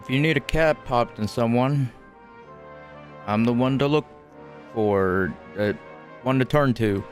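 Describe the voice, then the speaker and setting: A man's voice: medium pitch, measured pace, long pauses, soft background music. A man giving casual, joking commentary as a game streamer while a game plays.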